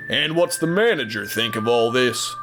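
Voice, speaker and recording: A man's voice: mid-range voice, animated, normal speed, natural voice. A man voicing a tough, stubborn workhorse in an audio drama, speaking in blunt, folksy slang, unsentimental, with music behind. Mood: wary, serious.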